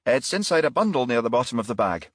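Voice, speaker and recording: A man's voice: medium pitch, big pitch swings, fast, dry recording, clean studio sound, voice pushed up. A man voicing a dutiful junior male bank manager in a crime audiobook, formal and stiffly respectful. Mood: deferential.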